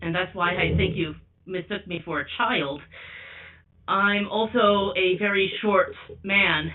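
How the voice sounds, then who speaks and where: A young man with a high voice calling in anonymously, speaking slowly and hesitantly with many restarts. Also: steady tone, a little hiss or hum. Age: young adult. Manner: slow, hesitant, restarts often. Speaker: caller. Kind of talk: anonymous call-in sharing. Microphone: phone call. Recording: tinny phone line from far off.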